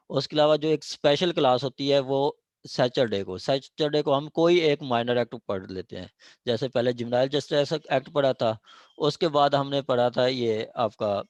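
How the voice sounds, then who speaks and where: A man's voice: medium pitch, dry recording. A man with a Pakistani accent teaching an online class informally, often checking in with short tag questions. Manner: repeated check-in tags. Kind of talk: informal classroom talk, announcing schedules.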